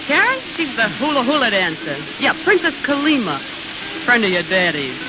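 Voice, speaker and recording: An actress playing a brash, wisecracking, slangy carnival snake charmer in a 1930s radio drama. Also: female voice, a little high, huge pitch swings, normal speed. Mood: amused, teasing. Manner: slangy, sassy, sharp-tongued. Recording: noisy, muffled 1930s radio broadcast.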